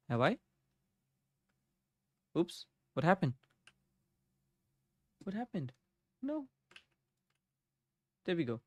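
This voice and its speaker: A man's voice: medium pitch, dry recording, clean studio sound. A young man streaming live from his home desk, thinking aloud as he codes and greeting viewers in the chat. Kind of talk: casual live coding chat. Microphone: desk microphone.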